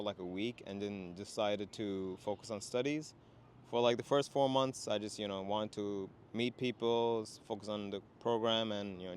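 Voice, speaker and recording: A man's voice: fairly low pitch, a little hiss or hum. A young man from Sri Lanka, raised in Qatar, talking casually as a student guest about finding work, with lots of "you know". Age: young adult. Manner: relaxed, many fillers like "you know". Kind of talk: casual conversation. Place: outdoors in a city, traffic nearby.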